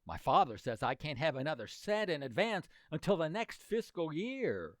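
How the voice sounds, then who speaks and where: Awkward teenage boy pal, played by a woman, plaintive and hesitant, very animated, dry radio-comedy sound. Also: low-pitched, moderate pace, dry recording. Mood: reluctant, worried. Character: awkward, reluctant, worried teenage boy sidekick. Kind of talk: old-time radio comedy acting.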